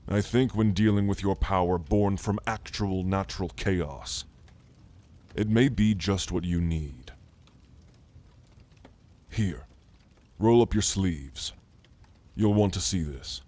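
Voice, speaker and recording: A man voicing a calm, scholarly orc shaman and gentle mentor, speaking in a soothing, measured, formal way in an ASMR roleplay. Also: deep voice. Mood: instructive, gentle.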